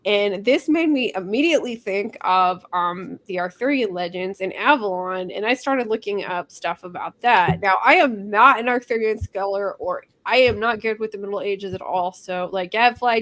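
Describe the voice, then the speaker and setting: A woman's voice: medium pitch, moderate pace, hardly any pauses, fairly bright tone. A woman hosting a casual book discussion in a chatty, informal and slightly tentative way. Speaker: discussion host.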